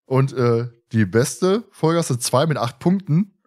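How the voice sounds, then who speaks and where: A man's voice: medium pitch, expressive, unhurried, a little mellow. A man chatting casually as a podcast host.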